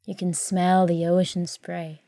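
Slow, mellow woman guiding meditation. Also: fairly low pitch, no echo, studio quality. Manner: calm, soothing, gentle delivery with long pauses. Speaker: meditation guide. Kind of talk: guided sleep meditation, soft scripted narration.

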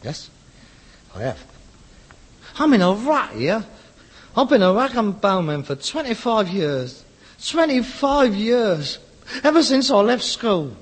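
A man's voice: high voice, big pitch swings, slow pace, long pauses, husky, exaggerated voice. An actor playing a frustrated, pretentious rag-and-bone man of about forty with a working-class London accent, exasperated, grandiose and sarcastic in a sitcom. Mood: bitter, grandiose. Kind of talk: scripted sitcom acting. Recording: reverberant hall, old sitcom recording.

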